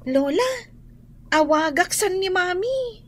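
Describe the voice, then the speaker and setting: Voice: very high-pitched, animated, slow pace, full character voice. A performer playing a small, anxious grandchild in a radio drama. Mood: anxious, pleading. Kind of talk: Ilocano radio drama acting. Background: loud music.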